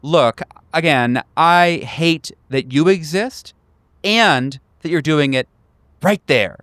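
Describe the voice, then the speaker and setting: A man's voice: on the high side, slow, a bit bright, dry recording, clean studio sound, voice pushed up. A man putting on an irritated, sarcastic middle-aged woman. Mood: snippy, scornful. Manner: combative, curt, sarcastic. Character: irritated, sarcastic late-forties woman slamming doors on agents.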